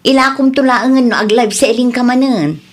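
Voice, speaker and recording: High-voiced, breezy middle-aged Filipina neighbour played by an actress. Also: female voice, moderate pace, close to an everyday voice. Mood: evasive. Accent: Ilocano. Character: breezy older married neighbour woman, a fickle customer. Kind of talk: Ilocano radio drama acting.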